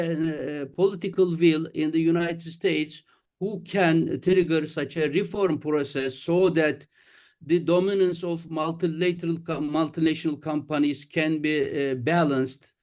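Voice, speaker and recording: A man asking a panelist a formal question, halting with many fillers. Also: fairly high pitch, a little mellow. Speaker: audience member asking a question.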